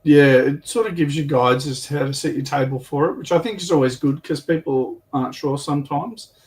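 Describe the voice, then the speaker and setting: A man's voice: fairly high pitch, a little fast, a little mellow. A man chatting informally and anecdotally as a panelist on a livestream, picked up by a distant microphone. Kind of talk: casual chat about military history and tabletop wargaming.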